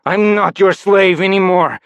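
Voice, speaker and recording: A man reading an audiobook voices a resentful, downtrodden man who has turned vengeful and shouts bitterly. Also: mid-range voice, very animated, normal speed, no echo. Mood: furious. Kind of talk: audiobook character voice.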